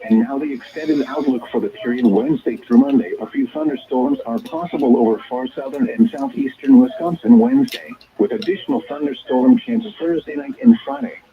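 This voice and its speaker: A man's voice: medium pitch, very animated, a little fast, creaky, hardly any pauses, crowd noise. Synthetic American male announcer on dull radio. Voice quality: synthetic text-to-speech voice. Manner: formal, impersonal, segmented phrasing. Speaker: automated weather radio announcer. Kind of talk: scripted weather bulletin narration. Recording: dull, band-limited weather radio broadcast.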